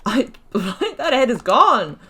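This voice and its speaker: A woman's voice: fairly high pitch, very animated, slow, pushed and bright, a little hiss or hum. A woman giving casual, self-deprecating commentary as she plays a video game.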